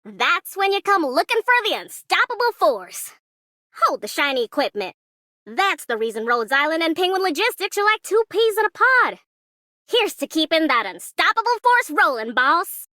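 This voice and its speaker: A woman's voice: extremely high pitch, sing-song, moderate pace, pushed and bright, dry recording, studio quality, exaggerated voice. A woman voicing a brash, bubbly young fighter in a video game, with folksy, boastful slang. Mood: boastful, upbeat. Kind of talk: video game character voice lines.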